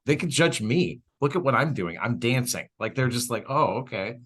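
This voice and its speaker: A man's voice: medium pitch, moderate pace, husky. A man co-hosting a podcast in casual comedic chat, blunt, profane and joking, acting out bits of dialogue as he tells stories. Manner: animated storytelling with acted-out dialogue. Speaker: podcast co-host.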